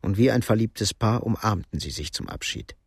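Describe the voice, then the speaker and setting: An actor narrating a Cold War thriller radio drama in a sober, measured, literary manner. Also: male voice, on the low side, animated, creaky, breathy edge, no echo, studio quality, exaggerated voice. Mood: sober, suspenseful. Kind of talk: scripted narration.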